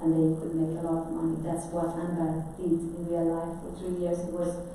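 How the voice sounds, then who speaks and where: A woman's voice: lowish voice, completely flat delivery, normal speed, dark tone, a little hiss or hum. Distant woman in an echoey hall. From Afghanistan. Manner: thoughtful, speaks with frequent restarts and fillers. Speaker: film director, guest speaker. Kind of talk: masterclass talk. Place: large auditorium.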